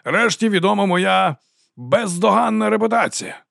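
A flamboyant old rogue of a mercenary captain, put on by a male audiobook reader, addresses his soldiers with theatrical pomp and sly self-mockery. The voice rides well above an everyday register, with a gravelly edge and a very dry, close sound. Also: high voice, animated, moderate pace, fairly bright tone, no room sound, voice pushed up, exaggerated voice. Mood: wry, rousing. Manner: theatrical, self-mocking, grandiose orator. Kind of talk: audiobook character acting.

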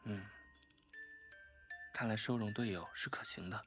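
Low, calm, analytical young man, acted. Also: music in the background, close to an everyday voice. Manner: matter-of-fact, measured. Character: calm, analytical, blunt young male game player. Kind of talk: audio drama acting.

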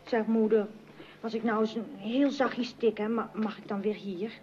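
An actress playing a chatty, put-upon young daughter in an old-fashioned radio comedy, with a plaintive, exclamatory delivery. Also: female voice, a little high, steady tone, moderate pace, a little mellow, lightly acted. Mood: wheedling. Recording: dull, noisy old radio recording.

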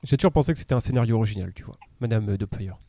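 A man's voice: on the low side, expressive, racing, dark tone, no echo. A man taking part in a podcast quiz, speaking casually as he reasons through his answers. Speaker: quiz contestant.

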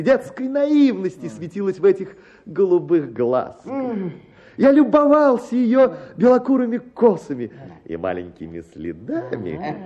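An actor playing a pompous, self-styled writer holding forth to a bachelors' club, declaiming grandly in a radio play. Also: male voice, sits high, mellow, heavily acted. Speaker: speaker at a bachelors' club meeting. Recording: slightly dull radio recording, crowd murmur. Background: murmuring male audience.

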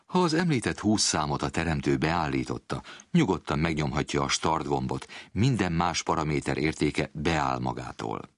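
Very deep male reader, dry sound. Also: no echo. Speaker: reader of book excerpt. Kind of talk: scripted literary reading.

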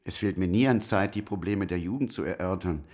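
Radio play casting: elderly ex-president, lowish voice, orotund patriotism, fatherly pomposity. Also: fairly quick, darkish tone. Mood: avuncular pomposity. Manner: declamatory, pompous. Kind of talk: radio drama acting.

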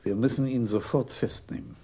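An actor playing a commanding police commissioner in a German radio crime play, terse and authoritative, with a husky voice. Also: male voice, medium pitch, steady tone, moderate pace, mellow, a little hiss or hum, close to an everyday voice. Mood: resolute.

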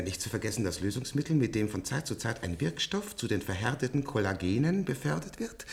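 A sardonic ancient patient, swooping theatrically. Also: male voice, lowish voice. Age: older. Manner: theatrical, chuckling, ironic, drawn-out delivery. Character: ancient male transplant patient, sardonic, bitterly cheerful, imperious. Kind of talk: German science-fiction radio play acting.

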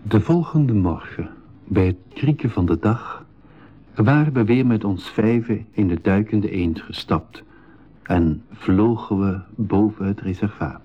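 An actor playing a composed storyteller, narrating an adventure in measured fashion for a 1980s school radio drama. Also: male voice, on the low side, husky. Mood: composed storytelling. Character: man recounting his own past adventure, composed storyteller. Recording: dull 1980s radio recording.

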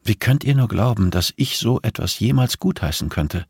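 Man voicing an appalled, questioning woman, pitch lifted. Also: animated, normal speed, darkish tone, slightly rough, no echo, clean studio sound. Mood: stunned disbelief. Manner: questioning, incredulous, earnest. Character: shocked, conscientious woman, disbelieving and morally appalled.

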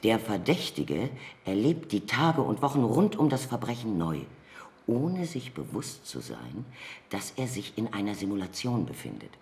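An actor playing a cold, methodical official of a computer justice system in a German radio drama, speaking in a measured, insistent way. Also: male voice, fairly low pitch, big pitch swings, darkish tone. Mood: clinical, explaining.

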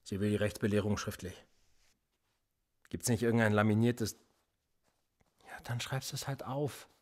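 An actor playing a cold, sardonic police interrogator in a radio play, calm and mocking with a menacing edge and a husky voice. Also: male voice, lowish voice, measured pace. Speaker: police officer.

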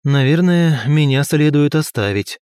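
A male audiobook reader voicing a brisk, modest young prince who brushes off his own illness in a dismissive way. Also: a little high, expressive, darkish tone, clear, resonant tone, no echo, studio quality. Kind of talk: audiobook character voice.

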